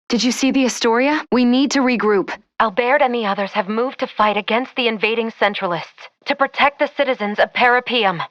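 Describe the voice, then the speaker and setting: Game android officer, female, played by an actress: logical, formal, measured and unemotional, mid-range pitch, close dry recording. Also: no room sound, close to an everyday voice. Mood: urgent. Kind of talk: video game voice acting.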